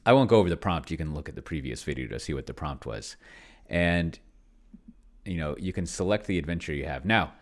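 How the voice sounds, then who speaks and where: Male, extremely low voice. Casual livestream host, rambling and self-deprecating. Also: expressive, fairly quick. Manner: offhand, self-deprecating, trails off and corrects himself mid-thought. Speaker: livestreamer demonstrating solo tabletop roleplaying with an AI.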